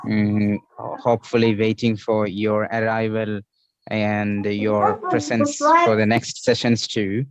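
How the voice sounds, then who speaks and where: A man's voice: lowish voice, dry recording. A man with an Indian accent hosting an online English class over a video call, patiently explaining idioms and repeating the key phrases.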